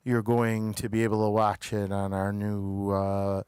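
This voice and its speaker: Slow-talking man hosting a casual review chat. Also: lowish voice, completely flat delivery, darkish tone, clean tone, no echo, clean studio sound. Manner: halting, searching for words. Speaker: talk show host reviewing TV episodes.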